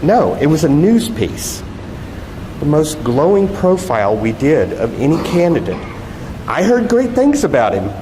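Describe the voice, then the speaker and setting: A man voicing a sly, wisecracking woman TV reporter with a brisk, wry manner, reading from a novel. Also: fairly high pitch, very animated, pitched above the performer's own voice. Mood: defensive. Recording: echoey hall with loud background noise.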